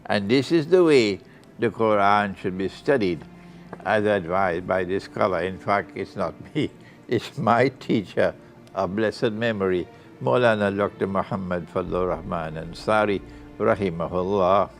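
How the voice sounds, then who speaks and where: A male Islamic scholar giving a lecture, reading a student's letter aloud in an unhurried, deliberate way with occasional soft laughs. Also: deep voice, soft background music.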